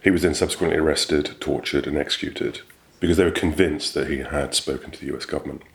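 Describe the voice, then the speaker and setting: A man with an extremely deep voice speaks in an interview. He looks back on his legal work in a measured, reflective and matter-of-fact way. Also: steady tone, creaky. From England. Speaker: barrister, interviewee. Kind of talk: interview recollection.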